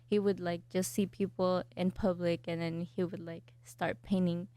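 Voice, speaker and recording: A young woman from El Salvador, a graphic design student, answering interview questions in a soft, hesitant way with many fillers. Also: lowish voice, little pitch movement, moderate pace, no room sound.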